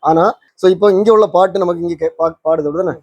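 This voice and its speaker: A man's voice: fairly high pitch, steady tone, fast, a little mellow, breathy edge, dry recording, dull audio. A man hosting a repair channel, explaining things casually and often checking with tag questions. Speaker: host of a vintage radio repair channel.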